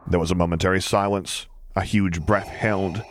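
A man's voice: deep voice, fairly even intonation, normal speed, slight background noise. A man reading a story aloud in a scripted, dramatic narration style. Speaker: audiobook reader.

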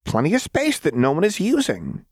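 A man voicing a manic, brash young woman saboteur in an audiobook, with a shrill, slangy, rambling delivery. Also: huge pitch swings, moderate pace, breathy edge, no room sound, studio quality, full character voice. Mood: manic glee.

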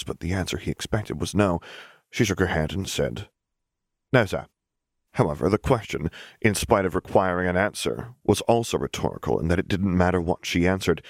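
A man reading a scripted story as an audiobook narrator, measured and dramatic. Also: deep voice, no room sound, studio quality.

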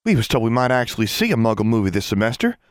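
A man's voice: a little high, a little fast, dry recording, clean studio sound, voice pushed up, natural voice. A man doing a drawling Ozark country girl. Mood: scandalized. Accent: Ozark drawl put on. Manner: excitable, old-fashioned, drawling. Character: prim young Ozark country girl, scandalized.

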